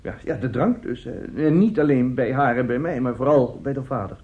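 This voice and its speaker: An actor in a radio drama playing a middle-aged, self-justifying seducer and family friend, speaking haltingly and emphatically. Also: male voice, medium pitch, natural voice. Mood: uneasy confession. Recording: dull, muffled radio play recording.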